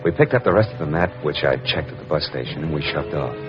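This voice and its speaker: A very low-voiced, hard-boiled American private eye, clipped, wry and in command. He narrates like a 1940s radio drama, on a dull old recording with music. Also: animated, fast talker. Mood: wry, brooding. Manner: wry, clipped, world-weary. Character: tough, wry male private detective, dry and in command.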